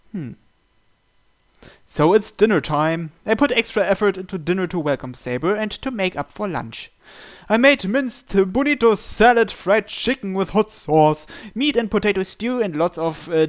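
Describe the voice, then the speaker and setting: A man reading visual novel narration aloud as he plays, with casual asides. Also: high-pitched. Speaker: video game player reading along.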